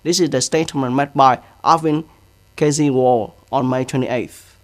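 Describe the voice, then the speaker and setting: A man's voice: medium pitch, steady tone, moderate pace, no room sound. Vietnamese-accented male newsreader, close dry sound. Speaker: news presenter. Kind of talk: scripted news reading.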